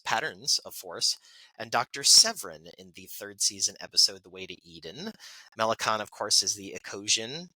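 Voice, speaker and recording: A man's voice: fairly low pitch, sharp tone, no room sound, rough recording. A man co-hosting a podcast, reading out birthday notes in a chatty way with fillers and asides. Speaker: podcast co-host. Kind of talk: casual birthday segment reading.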